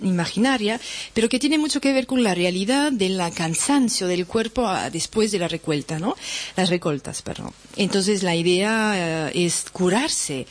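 A woman with a French accent giving an informal presentation, halting and searching for words, correcting herself as she goes, with bursts of enthusiasm. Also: mid-range voice. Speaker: presenter sharing a reading.

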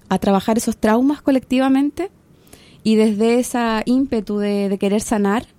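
A Chilean woman in her thirties speaks as a guest on a podcast. Her voice is mellow and low in brightness. She talks in an earnest, measured way. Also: no echo. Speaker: guest, researcher. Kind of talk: podcast interview.

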